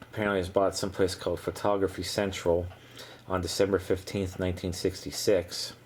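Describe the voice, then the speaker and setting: A middle-aged man giving a casual, unscripted show-and-tell in a halting, matter-of-fact way. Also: low voice, flat delivery. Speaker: vintage electronics hobbyist presenter.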